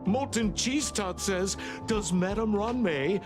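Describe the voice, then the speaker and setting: A man's voice: high-pitched, takes it slowly, over loud music. A man voicing a zealous, fawning devotee from a video game in a melodramatic, grandiose read-aloud. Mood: reverent, excited. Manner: melodramatic, grandiose, swooping delivery. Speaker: game streamer.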